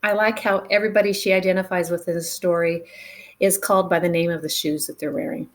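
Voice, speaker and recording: Expressive woman reading a children's story. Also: on the low side. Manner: animated, dramatic pauses, engages young listeners with questions. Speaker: storyteller reading a picture book aloud. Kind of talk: expressive children's read-aloud with chatty asides.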